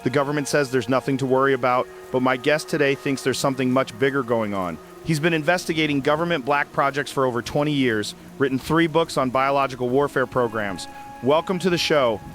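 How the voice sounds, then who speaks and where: A man's voice: medium pitch, fairly quick, fairly bright tone, soft background music, lightly acted. A man acting a curious, skeptical talk show host in an audio drama, probing in a conversational way. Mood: intrigued, introducing.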